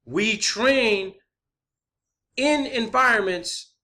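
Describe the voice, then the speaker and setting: Male online presenter, slightly high pitch, bright forceful tone, emphatic unscripted ranting with frequent swearing and rhetorical questions. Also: very slow. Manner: emphatic, insistent, swears often. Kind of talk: unscripted conspiracy theory monologue.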